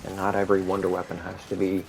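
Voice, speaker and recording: Low gravelly monotone man. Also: fast, poor audio. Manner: monotone, rambling, with many fillers. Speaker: gaming video creator. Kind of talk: casual gameplay commentary. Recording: steady background noise.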